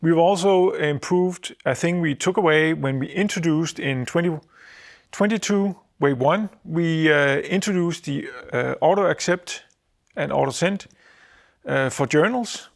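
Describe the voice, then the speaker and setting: Higher-pitched man with creaky voice, presenting. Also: a little slow. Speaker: software product presenter. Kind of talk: informal product update presentation.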